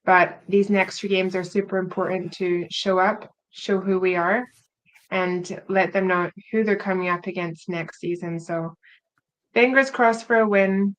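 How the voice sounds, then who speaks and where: A woman's voice: lowish voice, fairly even intonation, unhurried, poor audio. A woman from Scotland with a North American-influenced accent, co-hosting a casual podcast in an earnest, upbeat way. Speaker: podcast co-host.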